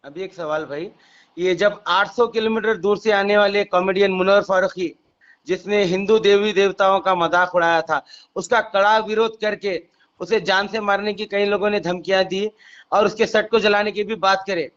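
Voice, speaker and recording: A man's voice: high voice, fairly even intonation, moderate pace, fairly bright tone. A man with a Hyderabadi accent working as a reporter, asking probing questions on a recorded phone call. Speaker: reporter conducting an interview.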